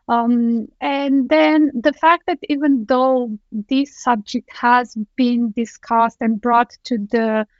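A woman's voice: on the high side, dry recording. A woman from Romania, a guest speaking thoughtfully on a video call, often restarting her sentences. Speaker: guest engineering director. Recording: dull, dry lo-fi call audio.

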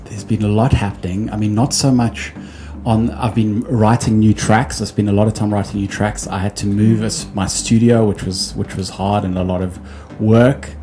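Male musician guest, relaxed chatter, dark mellow tone, slightly low. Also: normal speed, few pauses, slight background noise. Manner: easygoing, chatty, with frequent fillers and restarts. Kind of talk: casual interview chat.

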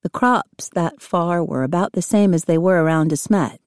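A woman's voice: on the low side, moderate pace, a little mellow, no echo, studio quality, lightly acted. A woman reading as a courteous pioneer letter writer, formal, precise and plain-spoken. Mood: courteous, composed. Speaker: audiobook reader of letters. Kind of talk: scripted reading of historical letters.

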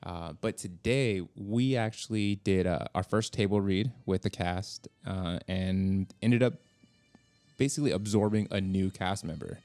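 A man's voice: low voice, measured pace, a little mellow. A man hosting a podcast in casual, informal and enthusiastic chat, swearing freely. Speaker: podcast host and filmmaker.

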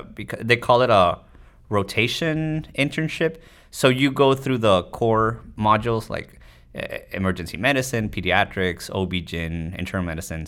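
A man with a fairly low voice talks as a guest in an interview. He explains things conversationally, as a physician from Mexico would to a colleague, dropping in "you know" often. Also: fairly bright tone. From Tijuana, Mexico.

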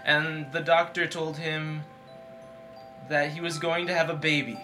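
A man on a podcast, improvising stories and chatting casually in a halting, hesitant way. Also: on the high side, flat delivery, pushed and bright, soft background music. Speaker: podcast participant. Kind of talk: casual improvised storytelling and chat.

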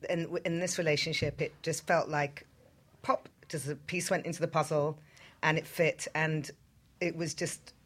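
A woman's voice: sits low, flat delivery, normal speed, a bit bright. A woman reflecting casually on her own life, feeling for words in short phrases. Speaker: panelist.

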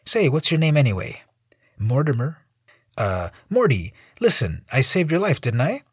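A man doing a quippy young superhero guy, breezy jokes, lively up-and-down voice, a bit husky. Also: medium pitch, moderate pace, no echo, studio quality, voice pushed up. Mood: friendly. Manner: jokey, breezy quips. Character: wisecracking young male superhero, upbeat.

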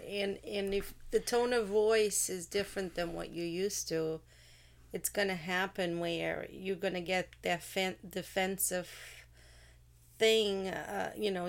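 A woman with a Portuguese accent, English her second language, chatting casually with her husband and often pausing to search for words. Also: fairly low pitch, fairly even intonation, gravelly. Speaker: podcast guest, host's wife. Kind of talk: casual chat. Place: same room as host, recording studio setup.

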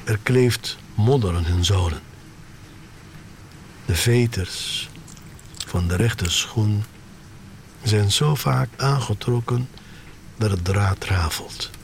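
An actor playing a sneaker-obsessed, wistful man of the future, telling his story in a deliberate, dramatic radio-drama monologue. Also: fairly low pitch, creaky, a little hiss or hum. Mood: subdued, reflective.

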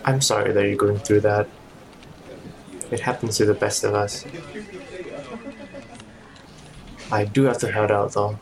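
A man playing an easygoing, reassuring colleague in an audio drama, with a casual, understated, gently playful way of talking. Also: fairly low pitch, flat delivery, moderate pace, creaky, a crowd in the background. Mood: sympathetic. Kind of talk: audio drama acting, naturalistic dialogue.

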